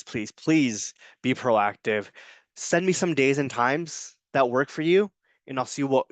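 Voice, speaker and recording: A man recording an introduction to his online course. He talks informally, with frequent ums and restarts. His voice is smooth and clear, captured dry and close with no room sound. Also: mid-range voice, fairly bright tone, no echo. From United States. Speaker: adjunct Spanish professor.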